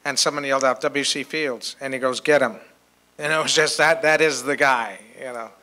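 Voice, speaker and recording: An older man casually telling anecdotes to an audience, jocular and a little halting. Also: medium pitch, fairly even intonation, pushed and bright. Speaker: panel speaker answering questions. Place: hotel conference room with audience. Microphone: handheld microphone.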